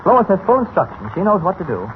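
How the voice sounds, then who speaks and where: Confident reporter hero, quick high-pitched delivery, assured, 1940s radio adventure, thin vintage sound. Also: male voice, huge pitch swings. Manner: assured, brisk explaining. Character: confident, brisk male newspaper reporter hero. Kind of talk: 1940s radio serial acting. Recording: scratchy, tinny old radio recording.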